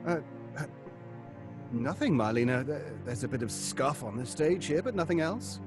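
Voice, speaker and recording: An actor plays a nervous, gossipy man of the stage in a horror audio drama. His fairly high voice swoops about theatrically. He sounds hesitant and keen to placate, with faint music behind. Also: expressive, moderate pace, natural voice. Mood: placating.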